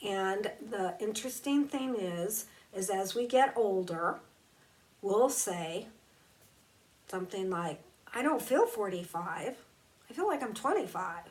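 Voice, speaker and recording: A woman speaking as a spiritual teacher in a reflective, conversational talk, slowing down to draw out key words. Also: mid-range voice, animated, recorded from a distance. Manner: slow, emphatic and conversational, drawing out key words.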